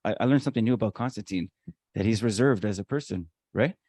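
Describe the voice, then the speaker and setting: A man's voice: on the low side, quick pace, warm tone, no echo. A man co-hosting a conversational interview podcast, talkative and emphatic, with promotional asides. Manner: talkative, emphatic, self-described showman. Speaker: podcast co-host.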